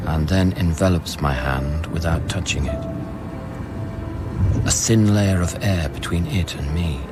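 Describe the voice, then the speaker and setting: A man acting in a radio play as a grieving, contemplative scientist on an alien world, speaking in a hushed, deliberate way. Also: very deep voice. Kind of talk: radio drama acting with first-person narration. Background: music.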